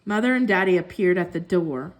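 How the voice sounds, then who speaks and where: A woman reading a children's book aloud as a storyteller, with expressive narration. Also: lowish voice, brisk, low-quality recording. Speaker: story reader.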